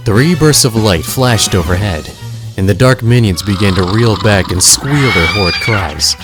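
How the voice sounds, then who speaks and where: Male narrator for audio drama: fairly low voice, dramatic scripted read, music bed. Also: animated, unhurried.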